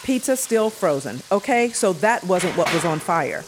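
An actress playing a prickly young adult daughter who talks in a sharp, blunt, defensive way in an audio drama. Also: female voice, on the low side, big pitch swings, normal speed, noisy background. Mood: irritated. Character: prickly adult daughter, resentful toward her mother.

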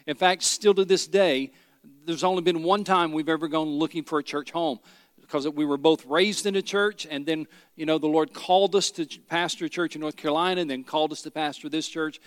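A middle-aged pastor from Tennessee, preaching a sermon with folksy stories and rhetorical questions. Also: male voice, on the high side, fairly even intonation, fairly quick, dry recording, in a large hall. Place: church sanctuary.

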